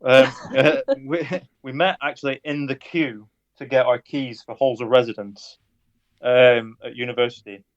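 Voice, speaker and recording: A man in his thirties with a Lancashire accent, a guest on a podcast interview, speaking in a measured, hesitant way with many fillers and a dry sense of humour. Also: mid-range voice, fairly even intonation, no room sound, dull audio. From Lancashire, England. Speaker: guest, police officer.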